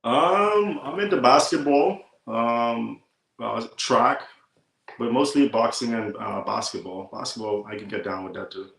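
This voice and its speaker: A man's voice: medium pitch, unhurried, creaky, fairly bright tone. A man from the Bahamas, chatting casually and informally as an easygoing podcast guest. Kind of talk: casual chat. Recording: roomy, echoing stream audio.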